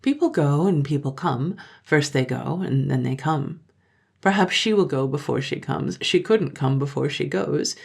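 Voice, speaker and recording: A woman's voice: low voice, animated, moderate pace, creaky, darkish tone, breathy edge, exaggerated voice. A woman voicing an odd, teasing village girl who talks in a cool, sly, riddling way, in a storybook reading.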